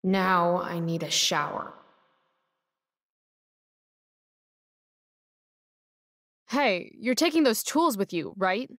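A woman's voice: medium pitch, very animated, a little slow, fairly bright tone, dry recording, studio quality. Sardonic, defiant American teenage girl. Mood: dismissive sarcasm. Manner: sardonic, defiant, foul-mouthed. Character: rebellious sarcastic teenage girl. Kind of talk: video game voice acting.

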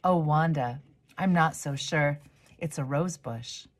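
Woman playing a gently doubtful neighbor lady. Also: deep voice, slow pace. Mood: curious, doubtful.

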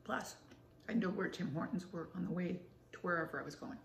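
A woman in her sixties with a raspy voice, chatting casually to the camera at home in a rambling, matter-of-fact way while she snacks. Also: fairly low pitch, brisk. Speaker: vlogger. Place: living room at home. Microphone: camera close up on a table. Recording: roomy home room with faint hiss.

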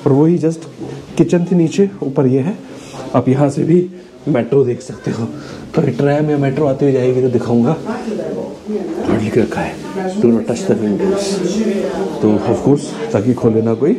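A man's voice: mid-range voice, animated, slow. A man in his forties, a travel vlogger, chatting casually as he walks through the rooms on a tour, mixing English into his speech, recorded on a handheld camera held close. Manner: chatty, explains while walking, mixes Hindi and English. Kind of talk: casual walking tour narration. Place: outdoor plaza, then small indoor rooms. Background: crowd of visitors.

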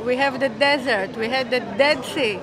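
A woman's voice: high-pitched, a little fast. A woman from Israel, a tourist chatting enthusiastically on the street and laughing often. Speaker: tourist interviewee. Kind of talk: casual street chat. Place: busy street outdoors. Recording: crowd noise behind.

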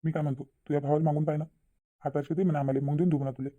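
A man's voice: on the high side, level tone, very fast, phone-quality sound, close to an everyday voice. A man voicing a troubled, insistent middle-aged village father in a Marathi cartoon, earnest and persuading, with a Vidarbha Marathi accent. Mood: hesitant, worried.

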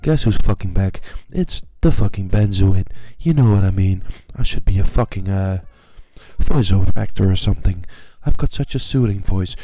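A young man giving casual gameplay commentary, stammering and swearing, swinging between low mumbles and excited yelling. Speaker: gaming channel host.

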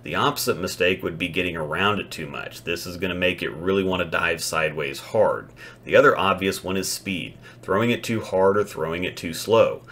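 A man with a low, deep voice narrates an instructional lesson. He stays matter-of-fact and analytical, and he walks through each mistake in a steady scripted voice-over. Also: fairly quick, slight background noise. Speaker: bowling instructor.

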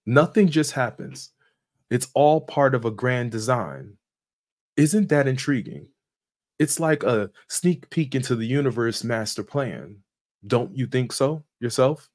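A man's voice: mid-range voice, darkish tone. A man in his forties hosting a channel, reading a scripted sermon review from a home office with small slips and restarts. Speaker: channel host.

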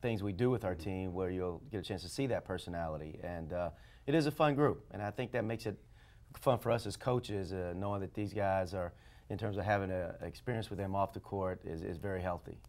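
A middle-aged man, a basketball coach, answering interview questions in a TV studio with measured speech, frequent fillers and restarts. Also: deep voice, darkish tone. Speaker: head basketball coach, show guest. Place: TV studio set. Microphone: lapel mic.

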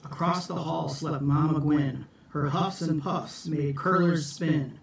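A middle-aged man reads a children's picture book aloud in an expressive, theatrical, deliberate storytime manner. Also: a little high, creaky. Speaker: storytime reader for schoolchildren. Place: small room at home, indoors. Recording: echoey room, distant phone mic.

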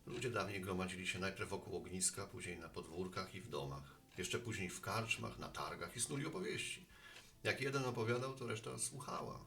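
A man's voice: deep voice, fairly quick, gravelly, sharp tone, heavily acted. A man playing a genial, philosophizing postman in a Polish audio drama, speaking colloquially and thoughtfully. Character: genial male postman, a chatty homespun philosopher.

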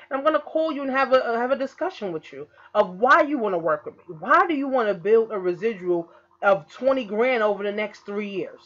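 A woman in her early thirties, an online business coach, giving a casual recruiting pitch to camera, repeatedly checking in with "okay?" and "guys". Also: highs cut off. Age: 30s. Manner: repetitive, keeps saying "okay?" and "guys". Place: indoor room. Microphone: laptop webcam in screen recording.

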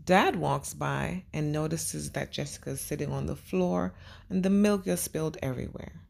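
A woman teaching young children and reading a storybook aloud, speaking simply and deliberately and putting questions to her listeners. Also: low voice, vocal fry, a little mellow.

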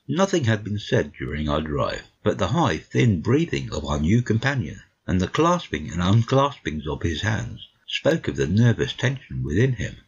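A man with a husky voice giving a scripted narration of a classic story for an audiobook, slightly muffled. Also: sits low.

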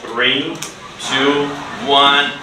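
A middle-aged man teaching an English class to children in simple, deliberate phrases, with repeated words and short instructions. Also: medium pitch, fairly even intonation. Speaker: ESL teacher. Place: indoor school classroom. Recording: roomy, noisy classroom, distant mic.

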